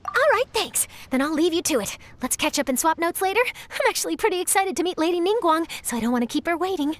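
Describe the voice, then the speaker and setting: Eager, high-voiced young reporter. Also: female voice, expressive. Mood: upbeat, eager. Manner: brisk, upbeat. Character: eager, friendly young female journalist. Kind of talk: video game character acting.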